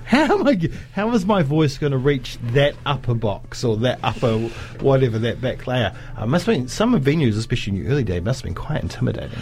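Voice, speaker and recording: A man's voice: medium pitch, big pitch swings, fast talker, few pauses, darkish tone. A man interviewing a guest, asking rambling questions and agreeing often. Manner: rambling, frequent agreeing fillers. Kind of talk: conversational interview.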